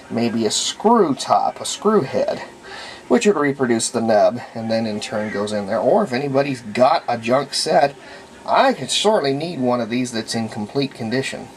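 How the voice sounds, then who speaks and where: A hobbyist restorer giving a casual hands-on workshop demonstration, thinking aloud in a halting way as they work. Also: medium pitch. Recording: noisy room recording with ambient sound.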